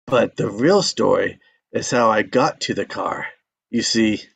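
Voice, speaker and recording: Man acting a gravelly, stubborn old storytelling father. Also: lowish voice, animated, a little slow, fairly bright tone, exaggerated voice. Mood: wry storytelling. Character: aging father, a stubborn, folksy tall-tale teller. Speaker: actor in a screenplay table read. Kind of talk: live script table read, dramatic dialogue.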